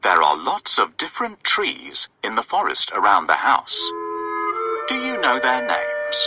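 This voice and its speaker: A man's voice: medium pitch, wildly swooping pitch, unhurried, music in the background. A man narrating a scripted children's story expressively, often putting questions to his young listeners.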